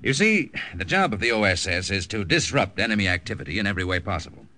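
An actor playing a grave, authoritative officer type in a 1950s radio drama. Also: male voice, fairly low pitch, sing-song, fast, a little hiss or hum. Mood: measured, confidential. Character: grave, authoritative army captain and dramatic announcer. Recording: bright old radio recording, faint music.

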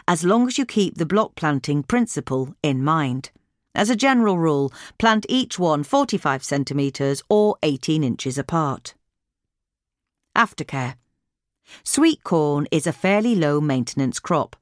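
A woman's voice: low-pitched, dry recording, studio quality. A woman reading a scripted gardening article aloud as a podcast narrator. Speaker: podcast reader.

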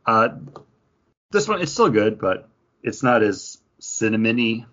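Husky, lively man chatting casually. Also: lowish voice, a little slow, highs cut off. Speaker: podcast co-host. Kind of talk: casual chat.

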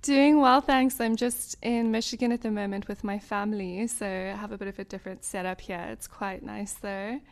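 A woman's voice: medium pitch, fairly even intonation, normal speed, few pauses. A woman hosting an interview podcast, asking questions and giving short encouraging replies. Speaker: podcast host. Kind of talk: conversational interview.

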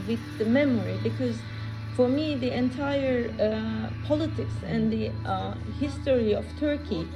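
A woman's voice: on the high side, low-quality recording. A woman talking reflectively, searching for her words as she goes. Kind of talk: reflective conversational talk.

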